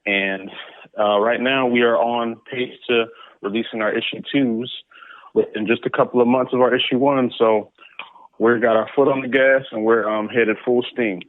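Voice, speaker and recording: A man's voice: mid-range voice, steady tone, normal speed, dry recording. A man speaking casually as a guest in an interview, in a conversational way. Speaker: interview guest, comic book creator. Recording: dry, tinny phone-like line.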